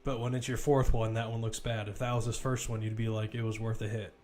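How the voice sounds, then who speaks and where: A man's voice: on the low side, flat delivery, quick pace, a little mellow. Man calling football over background noise. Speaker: play-by-play football commentator. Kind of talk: casual live audio match commentary.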